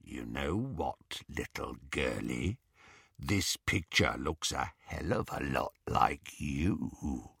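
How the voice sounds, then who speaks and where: A man voicing a menacing male werewolf who speaks slowly, quietly and with a rasp, often near a whisper. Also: very deep voice, animated, no echo, studio quality, pitched below the performer's own voice, exaggerated voice. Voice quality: rasping, growling. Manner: slow, quiet and breathy.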